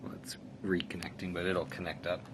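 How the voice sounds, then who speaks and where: Very low-voiced man, noisy recording. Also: fairly even intonation, fairly quick. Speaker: product reviewer giving a setup tutorial. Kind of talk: casual step-by-step tutorial narration. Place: indoors in a room. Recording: clear background noise, room ambience.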